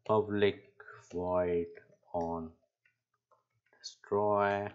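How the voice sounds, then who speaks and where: Slow, low-voiced man teaching coding. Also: little pitch movement, darkish tone, clean tone, muffled recording. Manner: halting, step-by-step explaining while typing. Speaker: programming instructor. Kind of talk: unscripted screencast coding tutorial.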